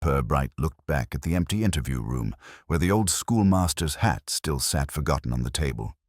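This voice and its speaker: A man's voice: rumbling low, expressive, normal speed, dry recording, clean studio sound. A man reading a scripted mystery story as an audiobook narrator.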